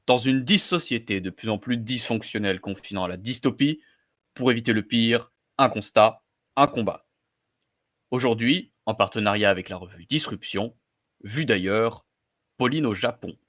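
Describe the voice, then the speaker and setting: A man's voice: mid-range voice, normal speed, a bit bright. A man reading a scripted, declamatory introduction to a talk show. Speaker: show announcer.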